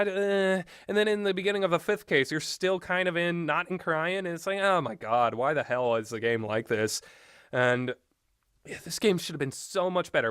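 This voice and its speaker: A man's voice: mid-range voice, fairly bright tone, dry recording, clean studio sound. A man giving casual, rambling and opinionated commentary on video games, often hesitating as he talks. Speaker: video game reviewer.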